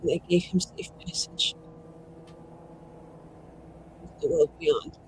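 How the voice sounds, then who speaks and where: A man playing a grieving, menacing noble who speaks slowly and deliberately in broken phrases. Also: high-pitched, level tone, slight background noise, low-quality recording. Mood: grim, grieving.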